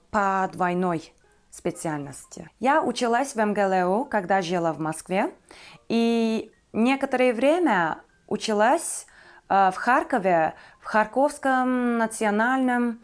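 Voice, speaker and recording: A woman in her twenties from Turkey, a native Turkish speaker with a Turkish accent, talking to viewers about herself as a vlogger in careful, slightly halting phrases. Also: mid-range voice. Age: 20s.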